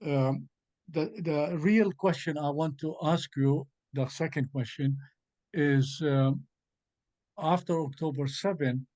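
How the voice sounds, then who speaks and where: Man, arabic accent, native arabic speaker, halting and full of fillers, higher voice, dry close sound. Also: darkish tone, no room sound. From Galilee, Israel. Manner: halting, many uh fillers, pressing questions. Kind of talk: impassioned questioning in an online gathering.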